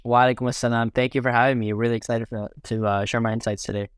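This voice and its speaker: A man's voice: medium pitch, flat delivery, fast talker, clean tone, no echo, studio quality. A man in his twenties with a Canadian accent, chatting casually as a guest on a podcast. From Toronto area, Canada. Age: 20s. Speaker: podcast guest, food blogger. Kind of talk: casual interview chat.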